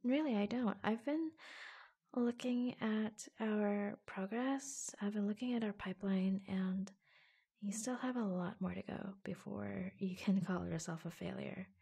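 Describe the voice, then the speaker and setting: An attentive, tender office assistant, played by a woman in an intimate ASMR roleplay. She speaks very slowly and softly, close to the mic, in a breathy voice with plenty of vocal fry. Her phrasing is gentle and hesitant, drifting toward a whisper. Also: medium pitch, fairly even intonation. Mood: reassuring. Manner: hushed, gentle, hesitant pauses. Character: attentive, reassuring female office assistant, secretly affectionate. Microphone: close microphone.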